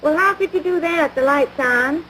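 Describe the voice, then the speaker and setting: A woman's voice: high-pitched, normal speed, fairly bright tone. An actress playing a crabby small-town American housewife and mother in a domestic comedy, nagging in folksy, scornful talk. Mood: exasperated. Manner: folksy ungrammatical speech, scornful, exasperated. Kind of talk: domestic radio-style situation comedy. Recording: noisy vintage broadcast recording.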